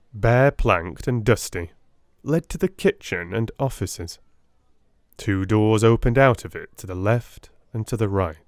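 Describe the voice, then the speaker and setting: Male narrator with a lowish pitch for dramatised classic fiction, theatrical delivery, very dry recording. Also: dry recording. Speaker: audiobook narrator.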